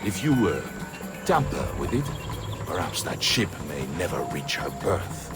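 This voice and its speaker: A man's voice: low voice, sing-song, a little slow, loud music behind the voice, heavily acted. A man playing a jovial, roguish French smuggler in a video game, with a French accent and a theatrical, exclaiming manner. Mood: sly, amused. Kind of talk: video game cutscene acting.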